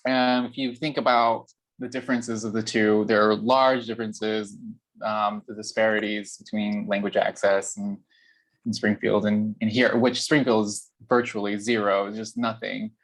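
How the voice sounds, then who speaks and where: Man from Nicaragua, low flat voice, halting self-correcting intro on a video call. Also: rough recording. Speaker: mutual aid member.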